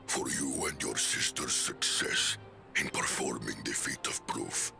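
An ancient giant elder for a video game. The voice is extremely deep and thick with gravel, speaking slowly and solemnly with formal gravity, over prominent music. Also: male voice, exaggerated voice. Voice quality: gravelly, rumbling. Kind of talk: scripted video game cutscene acting.

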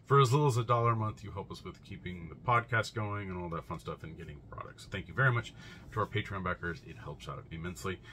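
A man's voice: deep voice, fast talker, pushed and bright, a little hiss or hum. A man in his thirties vlogging casually to camera from a shelf-lined room at home, rambling with lots of fillers and restarts. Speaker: comic shop vlogger.

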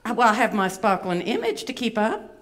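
A woman's voice: fairly high pitch, very animated, brisk, background noise. A woman voicing a vain, fussy, self-important female wombat puppet with a Southern American accent in a children's skit. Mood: fussy, boastful. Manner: dramatic, self-important, folksy endearments.

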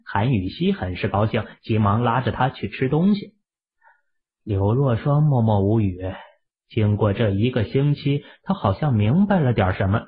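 A man narrating a novel as an audiobook in an expressive storytelling manner. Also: lowish voice, slightly rough. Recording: tinny phone-like audio.

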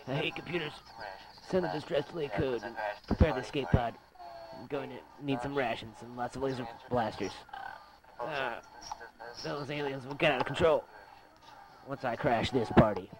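A man's voice: medium pitch, moderate pace, pitched below the performer's own voice, natural voice, low-quality recording. A man voicing a brash, grumbling space pilot in distress in an amateur sci-fi cartoon. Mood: cocky. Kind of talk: amateur animated sci-fi voice acting.

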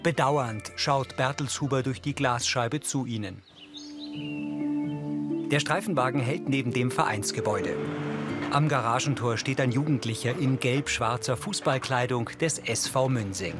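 A man's voice: mid-range voice, normal speed, over music. A man reading scripted audio description of film scenes in a neutral, matter-of-fact way.

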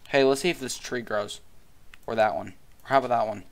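A man's voice: medium pitch, a little fast, gravelly. A young man chatting casually while playing a game, swearing and laughing often. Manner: excitable, swears, yells and laughs. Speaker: gamer co-host. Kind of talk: casual gameplay chat.

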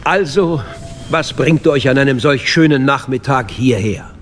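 A man performs an older galactic chancellor for a radio drama. He is measured and courtly, with an unctuous, fatherly manner that hides a schemer. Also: mid-range voice, a little hiss or hum, close to an everyday voice. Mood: courtly, calculating. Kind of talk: German radio drama acting.

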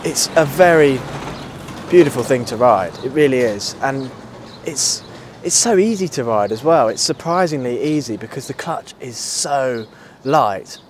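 A British man in his thirties talks in a medium-pitched voice, easygoing and keen. He chats casually like a reviewer addressing his viewers. Ambient outdoor noise and vehicles sit behind him. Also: expressive, a little slow. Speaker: motorcycle reviewer, channel host. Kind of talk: casual vlog chat to camera. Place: outdoors at a table outside a country pub.

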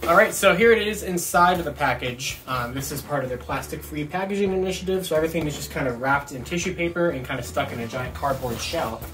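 A man's voice: fairly high pitch, fast, few pauses, noisy background. Young male vlogger, informal and enthusiastic, talking to camera in a small bedroom. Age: young adult. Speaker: toy review vlogger. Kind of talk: casual unboxing review to camera. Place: small dorm bedroom, indoors.

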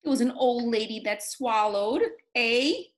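A woman in her forties teaching young children in an expressive, encouraging, sing-song way, as in a read-aloud and craft lesson. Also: on the high side, moderate pace. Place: small indoor home classroom. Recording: roomy, echoey home room sound.

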